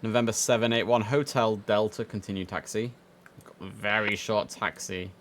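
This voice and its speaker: Low-voiced man streaming an air traffic sim. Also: fairly even intonation, moderate pace. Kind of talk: casual live-stream commentary mixed with controller radio phraseology.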